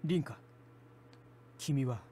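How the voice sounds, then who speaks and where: A man's voice: takes it slowly, warm tone, lightly acted. An actor voicing a terse, cold young man who speaks sparingly in a visual novel. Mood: cool. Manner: clipped, sparing with words. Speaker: game voice actor. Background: game music.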